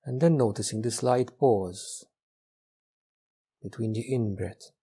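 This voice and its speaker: Man, guided meditation, very slow pace, dark mellow tone, gentle, long pauses between phrases. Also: fairly low pitch, breathy edge. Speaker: meditation teacher.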